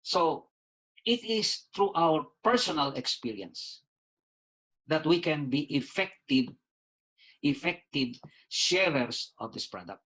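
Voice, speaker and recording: A man in his sixties from the Philippines with a Filipino accent, a doctor and lawyer giving a casual spoken testimonial, pausing often with "uh", repeating words and saying "you see". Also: on the high side, fairly bright tone, poor audio. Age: 60s.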